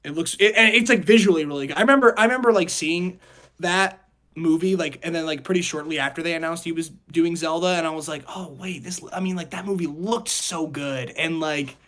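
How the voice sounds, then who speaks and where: A man's voice: fairly high pitch, a little fast, a bit bright. A man co-hosting a podcast, giving rambling, casual opinions on entertainment. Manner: rambling, hedging with frequent 'like'. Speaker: podcast co-host. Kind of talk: casual opinionated chat about movies and games.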